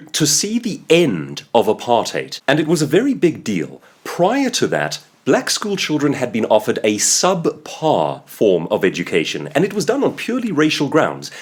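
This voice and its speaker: A man in his thirties with a South African accent, hosting a sarcastic opinion commentary and swinging his pitch widely for emphasis. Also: wildly swooping pitch, moderate pace, slightly rough. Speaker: host.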